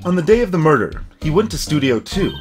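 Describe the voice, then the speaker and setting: A woman voicing an earnest male defense lawyer in a video game, inquisitive and deliberate. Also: low voice, sing-song, darkish tone, soft background music, pitched below the performer's own voice. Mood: inquiring. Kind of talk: voiced visual novel game dialogue.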